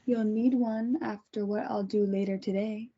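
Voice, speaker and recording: A woman's voice: mid-range voice, steady tone, normal speed, close to an everyday voice. A woman in a script table read, playing a controlling mother and captor with menacing, false sweetness. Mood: falsely sweet. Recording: dull, muffled lo-fi sound.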